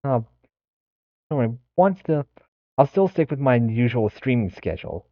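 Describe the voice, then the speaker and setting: A man livestreaming a game, chatting casually in a hesitant, halting way on a lo-fi microphone. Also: mid-range voice, lively intonation, no room sound. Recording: dull, lo-fi microphone.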